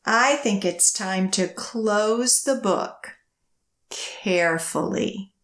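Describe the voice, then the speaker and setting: A woman's voice: mid-range voice, drawn-out words, fairly bright tone, reverberant. A woman hosting storytime for young children, reading picture books expressively and giving encouraging, simple instructions. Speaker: children's librarian, storytime host.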